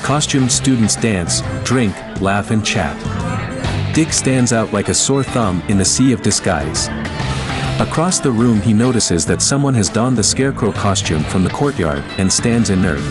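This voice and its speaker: A man's voice: lowish voice, lively intonation, a little slow. A man narrating an audio drama from a script in a dramatic style, with music behind him.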